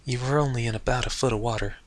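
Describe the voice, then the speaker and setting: A man's voice: medium pitch, steady tone, rapid-fire, slight background noise, natural voice. A man voicing a plainspoken, worried female farm pony with a folksy, terse manner in a storybook reading. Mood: grave, concerned.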